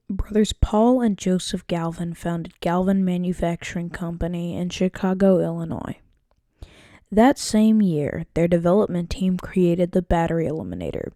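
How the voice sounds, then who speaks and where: A woman's voice: on the low side, dark tone, dry recording, studio quality. A woman student presenter reading scripted documentary narration, occasionally stumbling over a word.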